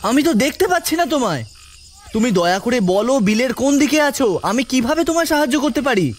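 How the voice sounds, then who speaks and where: An actor playing a frightened, anxious village youth out alone at night in a dramatised audio horror story. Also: male voice, very high-pitched, lively intonation, a little fast. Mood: alarmed, pleading. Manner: anxious, pleading, muttering. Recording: noisy night ambience of insects, frogs.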